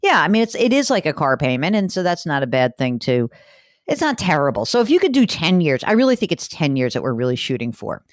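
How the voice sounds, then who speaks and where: Animated low-voiced woman money-show host. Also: quick pace, dry recording, studio quality. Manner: chatty, emphatic, animated. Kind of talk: conversational radio podcast talk.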